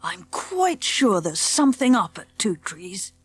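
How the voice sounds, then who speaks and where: Woman as a confident schoolboy, lively high voice. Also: measured pace, creaky, slightly rough, no room sound, heavily acted. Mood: eager, explaining. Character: confident, polite schoolboy leader, eager and decisive. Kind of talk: children's audiobook character acting.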